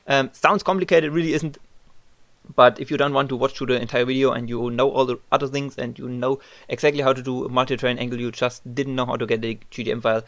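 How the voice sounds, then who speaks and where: A man's voice: mid-range voice, steady tone, fast, a bit bright, dry recording. A man with a German accent, a non-native English speaker, talking through a screen-recorded modding tutorial with many ums and uhs.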